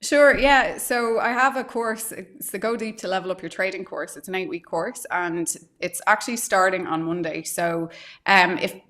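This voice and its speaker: A woman's voice: medium pitch, normal speed, a bit bright. A woman coaching traders on mindset, explaining her ideas step by step and thoughtfully, with frequent fillers, over a remote call. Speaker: trading psychology coach. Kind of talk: live-stream advice talk.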